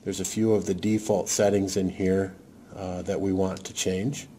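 A man casually walking through a how-to demo, talking in a halting way with many fillers. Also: low voice, flat delivery, warm tone, slight background noise. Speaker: radio hobbyist demonstrating programming. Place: indoor desk, off camera.

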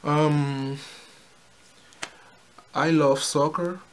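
A man's voice: fairly high pitch, fairly even intonation, extremely slow, slight background noise. A young man in his twenties co-hosting a casual couple challenge, making plain, matter-of-fact guesses in a small room. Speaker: co-host.

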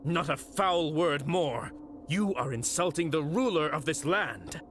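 A stern, declaiming loyal knight. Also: male voice, a little high, animated, measured pace, a bit bright, slight background noise. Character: loyal, indignant knight guarding his young liege. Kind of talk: video game voice acting.

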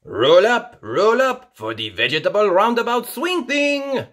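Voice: mid-range voice, sing-song, a little slow, fairly bright tone, pitched above the performer's own voice. A woman voicing a jolly, grand male potato showman who proclaims like a fairground barker in a children's storybook. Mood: rousing call. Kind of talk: children's storybook read aloud.

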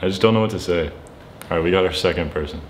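A young man chatting casually and excitably to camera in slangy, playfully dramatic speech in a bedroom. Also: low voice, fast talker, noisy background. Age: young adult. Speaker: vlogger making prank calls. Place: bedroom, indoors.

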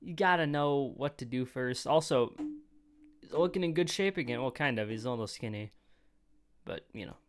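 A man's voice: medium pitch, animated, moderate pace. A young man reacting off the cuff in casual, slangy commentary, close on a desk microphone in a room at home. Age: young adult. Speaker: reaction video host. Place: small room indoors at home. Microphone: close desk microphone, headset worn.

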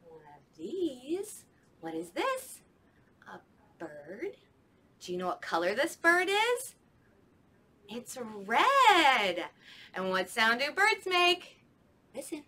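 A woman's voice: sits high, pushed and bright. A young woman, a children's librarian, leading a storytime with songs, talking to kids at home in a sing-song way in a library children's room.

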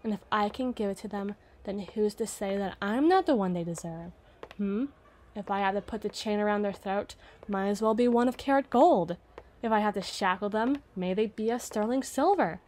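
A woman's voice: mid-range voice, darkish tone, close to an everyday voice. A woman playing a rich, possessive young heiress who is condescending and doting, with a haughty, teasing manner, in an ASMR roleplay. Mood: brooding. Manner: haughty, teasing, drawn-out words. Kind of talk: ASMR roleplay, scripted monologue.

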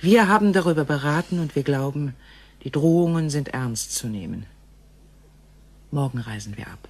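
A woman playing a grave, gentle female alien visitor in a science fiction radio play, speaking in formal, measured phrases. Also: deep voice, animated. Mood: grave, worried.

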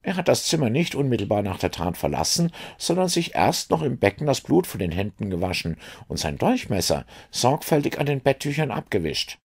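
A man narrating a detective novel as an audiobook, reading in an expressive, storytelling way. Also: mid-range voice, a little fast, creaky, hardly any pauses, breathy edge.

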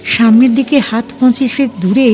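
Suspenseful female story narrator, slightly high voice. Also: normal speed, darkish tone, a little hiss or hum. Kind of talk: dramatic scripted story narration.

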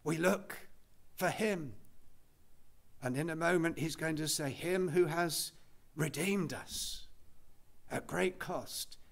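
An older man, preaching a measured expository sermon at a church lectern. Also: fairly high pitch, gravelly. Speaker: pastor. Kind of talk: expository Bible study sermon. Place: church hall, indoors. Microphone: lectern microphone.

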